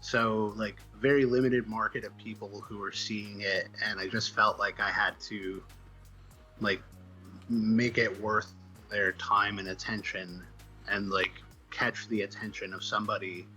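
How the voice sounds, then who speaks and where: A man chatting casually as an interview guest, halting and self-deprecating as he thinks out loud. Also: fairly low pitch, level tone, soft background music, poor audio. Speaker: guest, comic book writer.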